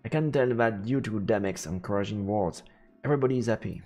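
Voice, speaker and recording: A man streaming a visual novel, reading the text aloud as measured, dramatic narration. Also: on the low side, dark tone, faint music behind.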